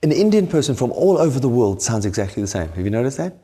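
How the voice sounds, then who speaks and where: A man's voice: medium pitch, big pitch swings, fast talker, mellow, breathy edge. Joking male cooking-show host. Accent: South African. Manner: joking, playfully naive questions. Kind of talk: comic banter on a cooking show.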